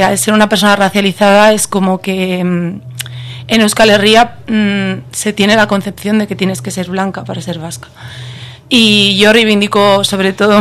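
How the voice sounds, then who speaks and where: A woman's voice: medium pitch, flat delivery, normal speed. A woman from the Basque Country speaking candidly and thoughtfully on a radio roundtable, with many fillers. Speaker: roundtable participant. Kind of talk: casual radio roundtable talk.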